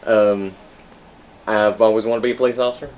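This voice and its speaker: A man's voice: lowish voice, steady tone, fairly quick, over a noisy crowd. A man, a new police officer giving conversational answers as an interview guest. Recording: dull audio, background noise.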